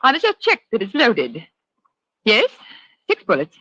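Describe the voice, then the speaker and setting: An actress playing a brisk, self-assured, scheming lady villain with a haughty, theatrical manner in a 1940s radio drama. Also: on the high side, huge pitch swings, bright, forceful tone, dry recording, exaggerated voice. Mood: brisk confidence. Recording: muffled old radio broadcast.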